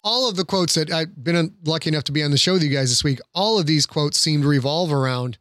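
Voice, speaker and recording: Man, slightly high voice, thoughtful commentary, conversational, very little room sound. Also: quick pace, a bit bright, no room sound, studio quality. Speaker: podcast guest.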